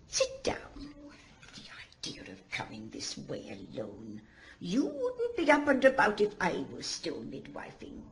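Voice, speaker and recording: A woman playing a fussy, motherly old servant in an audio drama, with a breathy, scolding, muttering way of talking. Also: high voice, exaggerated voice. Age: older.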